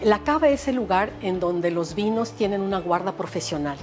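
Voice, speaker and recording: An older woman with a Mexican accent speaks as a sommelier, explaining a wine cellar. Her pitch is average for a woman, with music clearly audible behind her. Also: expressive, normal speed.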